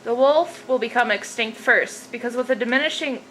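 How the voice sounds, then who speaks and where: A woman's voice: on the high side, moderate pace, fairly bright tone. A woman giving a scripted, documentary-style narration. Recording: noisy amateur recording with background ambience.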